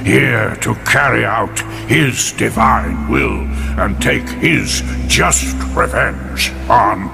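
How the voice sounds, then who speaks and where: Very gravelly, deep old priest. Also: male voice, over music, heavily acted. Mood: solemn urging. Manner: slow, commanding, exhortative. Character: dead old priest's voice, solemn and vengeful, urging God's justice. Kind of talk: radio drama acting.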